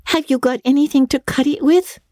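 A woman's voice: sits high, steady tone, fairly quick, a little mellow, no echo, clean studio sound, voice pushed up, lightly acted. A woman voicing a devoted, plainspoken little girl in a dramatic audiobook reading, childlike and earnest. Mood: practical. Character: Diana, devoted, plainspoken little girl, Anne's bosom friend.

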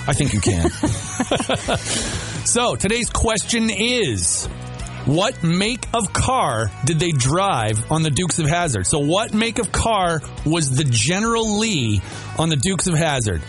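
An American man hosts a morning radio show in a fairly high voice, with music lightly underneath. He banters casually and playfully, in a folksy way. He likes to say a thing again to drive it home. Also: sing-song, slow pace. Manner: playful, folksy, repeats himself for emphasis. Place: radio studio.

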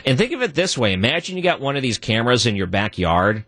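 A man with a deep voice, guest hosting a talk radio show and arguing his point alone, his voice jumping higher when he gets worked up. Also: fast, no room sound. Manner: animated, voice jumps high when worked up. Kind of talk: solo talk radio monologue.

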